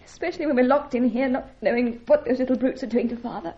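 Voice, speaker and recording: A dulled old radio drama recording. An actress plays a naive young woman, her voice fairly high yet soft-toned. She is genteel, helpless and fretful, earnest in every line. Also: fast talker, dull audio, natural voice. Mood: despairing, panicky. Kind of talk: old-time radio adventure serial acting.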